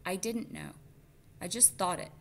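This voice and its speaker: A woman reading the part of a quiet, thoughtful young boy mouse in a storybook, plain and reflective. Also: mid-range voice, measured pace, a little hiss or hum, natural voice.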